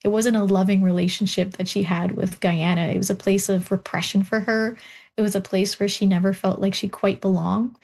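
A woman's voice: medium pitch, steady tone, brisk, a little mellow. A young woman guest on an interview show, a doctoral researcher, telling personal stories animatedly with frequent laughs and restarted sentences.

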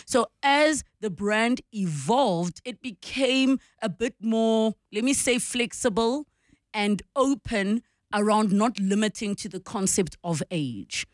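A woman's voice: mid-range voice, slow pace, dry recording, clean studio sound. A South African woman radio host speaking conversationally, explaining and advising in an encouraging manner. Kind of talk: conversational advice and interviewing.

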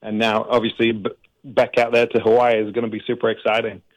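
Coach, flat even pitch, earnest guest on a radio call. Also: male voice, a little fast, lo-fi sound. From England. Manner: grateful, earnest, flowing storytelling. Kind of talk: radio interview answers.

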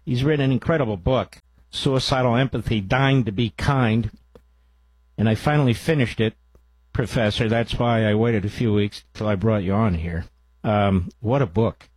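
A male radio talk show host with a rough-edged, husky voice. He addresses listeners and guests in an interview format, recorded close and dry with no room sound. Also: on the low side, moderate pace, a little mellow, no room sound, poor audio.

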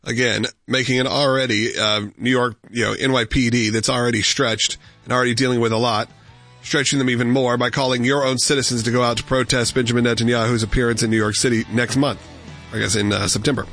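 Fast-talking American male talk show host. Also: medium pitch, little pitch movement, fairly bright tone, no echo, soft background music. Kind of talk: live political talk radio hosting.

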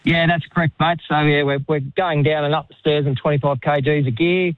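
A man's voice: on the high side, steady tone, a little fast, no echo. A man with an Australian accent, a firefighter speaking hesitantly on a call to a radio show. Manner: hesitant, with many fillers. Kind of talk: phone interview. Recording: tinny phone line.

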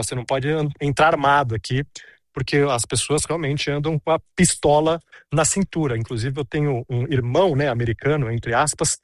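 A man's voice: fairly high pitch, dry recording, clean studio sound. A man in his thirties giving a chatty on-location report, telling stories with many asides. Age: 30s.

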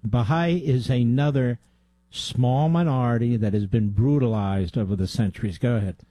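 Blunt, emphatic radio host, dark tone. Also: male voice, medium pitch, normal speed, no echo. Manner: blunt, emphatic, interrupts callers. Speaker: radio talk show host. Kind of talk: call-in radio talk.

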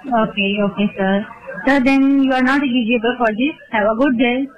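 A woman telemarketer on a sales call, speaking hesitantly and haltingly. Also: fairly high pitch, soft background music. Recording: dull phone line.

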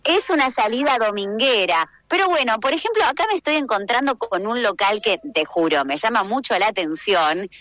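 A woman's voice: fairly high pitch, animated, moderate pace, hardly any pauses, a bit bright. Chatty Argentine woman reporting live. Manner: chatty, effusive, informal. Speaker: roving radio reporter. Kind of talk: live street reporting and interviewing. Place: outdoor craft fair.

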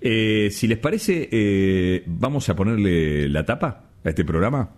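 Deep, lively Argentine radio host. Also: male voice. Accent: Argentine Spanish. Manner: lively, joking, quick banter. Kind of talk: casual studio chat.

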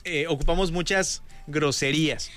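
A man's voice: fairly high pitch, moderate pace, a bit bright, soft background music. A Mexican man with a Mexican accent, hosting a casual radio show, joking and reading out listener messages. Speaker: radio host.

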